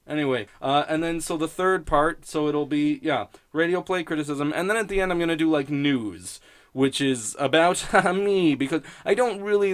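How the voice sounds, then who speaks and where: A man's voice: a little high, clean tone. A man hosting a podcast in casual, improvised rambling, with a sardonic, profane and self-mocking way of talking. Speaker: podcast host.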